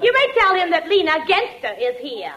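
A woman's voice: very high-pitched, very animated, fairly quick, over a noisy crowd. An actress playing a brash, pushy, threatening woman with a brassy, wisecracking manner in a 1940s radio comedy. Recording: old radio recording, noisy, audience behind.

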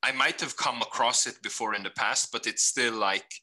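A man's voice: medium pitch, moderate pace, pushed and bright. A man in a casual call, listening closely and asking curious questions. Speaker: chat participant. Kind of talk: casual video call chat.

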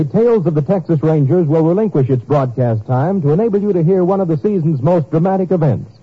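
A man's voice: fairly high pitch, slightly rough. A man reading formally as a 1950s radio announcer. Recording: muffled old radio broadcast recording.

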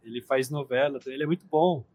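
A man's voice: mid-range voice, racing, muffled recording. A Brazilian man co-hosting a casual film review chat, laid-back and slangy, laughing often. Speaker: podcast co-host.

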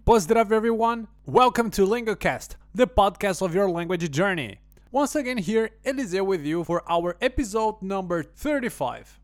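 High-voiced male podcast host. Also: lively intonation, moderate pace, faint music behind. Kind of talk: podcast interview.

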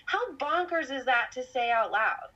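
A woman's voice: high voice, animated, a little slow, highs cut off, crowd noise. A woman of twenty-eight chatting on a podcast, rambling and self-reflective, laughing now and then. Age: 20s. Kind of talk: casual conversational storytelling.

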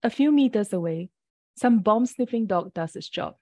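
Woman poet, warm dry close voice, measured unhurried reading, a bit early-morning rough. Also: medium pitch, lively intonation, no echo. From Singapore. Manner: measured, deliberate reading with brief asides. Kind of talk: poetry reading.

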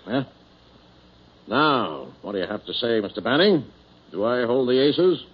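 A smug blackmailing villain in an old radio drama. His middle-pitched voice is husky and taunting. The recording sounds dull and muffled. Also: very animated, measured pace. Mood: smug sneering. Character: scheming, smug male blackmailer, sneering villain. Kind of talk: 1940s radio drama acting.

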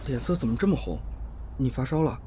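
A man's voice: mid-range voice, animated, quick pace, background noise, close to an everyday voice. A man playing a considerate, hesitant young man. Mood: concerned. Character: considerate, slightly awkward young working man. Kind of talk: Chinese audio drama acting.

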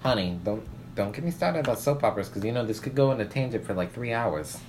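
A woman with a strikingly low voice that moves around a lot in pitch. She banters casually and states her opinions with emphasis, swearing freely. There is noticeable noise behind her. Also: lively intonation, quick pace. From Puerto Rican family background.

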